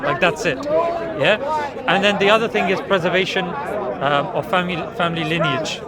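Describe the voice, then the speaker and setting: A man's voice: high-pitched, expressive, normal speed. A man, patiently explaining his beliefs step by step in a debate with visitors in a busy outdoor park. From England. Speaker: street preacher debating visitors. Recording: loud crowd noise outdoors.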